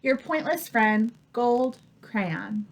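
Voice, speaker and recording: Woman voicing an exasperated, high-pitched scolding crayon. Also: expressive, very slow, slight background noise, heavily acted, low-quality recording. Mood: exasperated, sarcastic. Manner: sarcastic, exasperated. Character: indignant, scolding, worn-down gold crayon.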